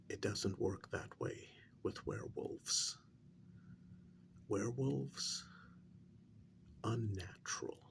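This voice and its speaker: A man playing a calm, menacing veteran werewolf agent in a soft ASMR role-play, gravelly and often near a whisper, with deliberate pauses. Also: fairly low pitch. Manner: slow, deliberate, pausing between short phrases.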